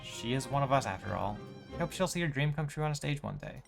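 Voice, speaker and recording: A man's voice: medium pitch, expressive, quick pace, gravelly, faint music behind. A man voicing a proud, worried female musical theater performer with formal phrasing, from game dialogue. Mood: principled, indignant. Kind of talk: reading game dialogue aloud.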